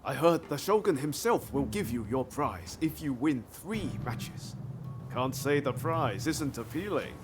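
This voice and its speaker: A man's voice: mid-range voice, animated, measured pace, music in the background, close to an everyday voice. A man playing a breezy, encouraging warrior comrade in a video game cutscene, speaking casually. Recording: clear background ambient noise.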